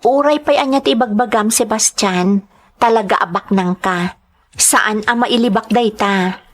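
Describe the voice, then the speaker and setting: A woman's voice: medium pitch, unhurried, close to an everyday voice. A woman with a Filipino accent playing an earnest young nightclub entertainer, telling her story expressively in a radio-style drama. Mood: concerned, curious.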